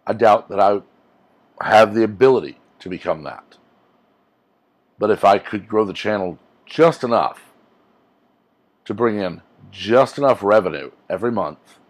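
A man in his forties, a YouTuber, rambling earnestly in a casual rant to camera from a small room on a lapel mic. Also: deep voice. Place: small room with green backdrop, indoors.

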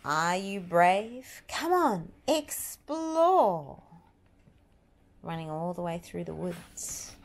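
A woman reading a picture book aloud to young children with dramatic, drawn-out, expressive delivery. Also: lowish voice, a little hiss or hum.

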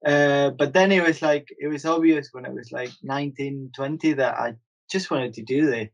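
Hesitant artist from Argentina. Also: male voice, medium pitch, moderate pace. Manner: hesitant, many fillers and restarts. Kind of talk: casual interview talk.

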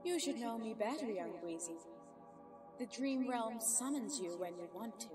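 A woman voicing a wise, regal pony aunt in an animated web series, measured, formal and gently admonishing, with prominent music behind her. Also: a little high, lightly acted. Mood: knowing.

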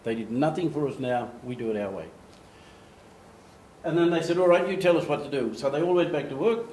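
A man's voice: a little high, a little fast. Older man telling stories in a hall. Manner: animated, gestures, acts out quoted voices. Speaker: speaker giving a slide talk. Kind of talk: storytelling presentation. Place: meeting room with projector screen, at a lectern. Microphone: far camera. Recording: echoey room, faint ambience.

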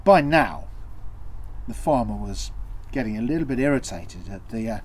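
A middle-aged man telling a tale theatrically, with dramatic, deliberate pauses and repetition. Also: medium pitch, expressive, husky. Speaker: costumed storyteller. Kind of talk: theatrical oral storytelling. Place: small draped indoor set.

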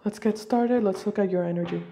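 A woman reading tarot cards for her viewers while fighting a cold, speaking slowly and deliberately at her table at home. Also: medium pitch, vocal fry, noisy background. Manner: slow, deliberate, intuitive. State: has a cold. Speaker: tarot reader.

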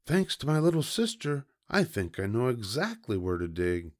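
A man's voice: medium pitch, very animated, moderate pace, darkish tone, pitched above the performer's own voice. A man voicing an earnest young boy, an exasperated big brother in a storybook. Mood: hopeful. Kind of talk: storybook character voice.

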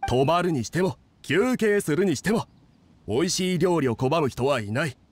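An actor playing an easygoing, confident young inn chef with a hospitable manner, in anime game dialogue. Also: male voice, on the high side, animated, moderate pace, a little hiss or hum, natural voice. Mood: proud, hospitable. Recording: music clearly behind the dialogue.

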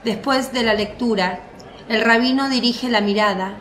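Female narrator for radio drama: fairly high voice, measured storytelling, roomy echo. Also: fairly bright tone, slight background noise. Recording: roomy, echoing voice track. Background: faint music.

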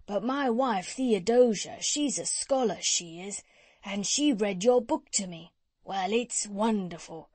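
A woman's voice: medium pitch, a little slow, a little mellow, no echo, natural voice. A woman voicing a folksy, unschooled male tradesman in a storybook, chatty and colloquial, with a scheming streak. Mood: admiring, eager. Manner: chatty, uneducated colloquial speech.

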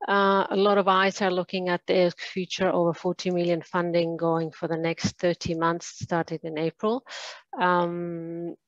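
Woman, low-ish voice, conference keynote, halting with fillers, dull dry video-call sound. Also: little pitch movement, no echo. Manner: halting, many fillers and restarts. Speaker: keynote speaker. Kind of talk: conference presentation.

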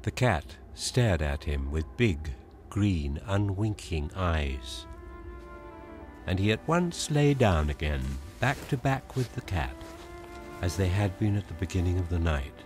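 An actor playing a grave, measured storyteller, narrating an audio drama. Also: male voice, low voice, very animated. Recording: loud music behind narration.

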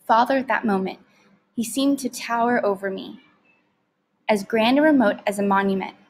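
A woman's voice: fairly high pitch, moderate pace, a little hiss or hum. A woman reading a story aloud expressively, like a teacher narrating to students.

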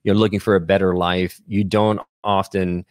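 Male podcast host, 40s, low register, conversational, close dry studio sound. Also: little pitch movement, normal speed, a little mellow, no echo. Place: small podcast studio at a university. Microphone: close studio microphone on boom arm.

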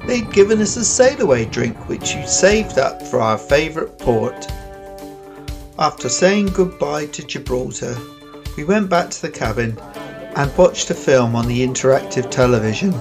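A man's voice: medium pitch, very animated, normal speed, music in the background. Older British man narrating a vlog. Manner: plain, chatty storytelling. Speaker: vlog host. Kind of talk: scripted narration.

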